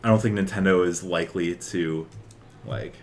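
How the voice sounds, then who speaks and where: Male podcast co-host, low register, loose conversational delivery that wanders as he thinks. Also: steady tone, normal speed, slight background noise. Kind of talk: casual chat about games and films.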